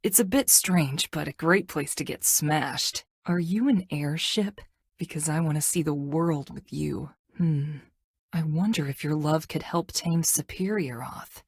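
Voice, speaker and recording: Low, husky, brooding tough game heroine. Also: female voice, no room sound, exaggerated voice. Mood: playfully bossy. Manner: dry, deadpan, halting when flirting. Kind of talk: video game character dialogue.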